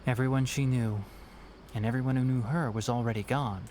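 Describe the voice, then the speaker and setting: A man playing an earnest, thoughtful scholar in a video game, explaining things in a measured, respectful way. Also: mid-range voice, steady tone, a little mellow, a little hiss or hum, natural voice. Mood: solemn, explanatory. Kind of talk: video game voice acting, storytelling.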